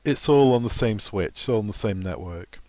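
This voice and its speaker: A man's voice: on the low side, lively intonation, moderate pace, warm tone, dry recording. A man presenting an informal technical training walkthrough, explaining each step plainly as a trainer. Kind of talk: software walkthrough tutorial, informal instruction.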